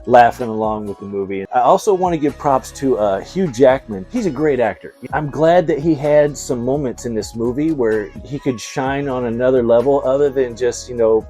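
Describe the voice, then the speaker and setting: A man hosting a movie and toy vlog, talking in a casual, excitable, slangy way. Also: medium pitch, normal speed, few pauses, soft background music. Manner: excitable, slangy, chuckles often. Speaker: host of a toy and movie review channel. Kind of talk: casual, enthusiastic vlog chat.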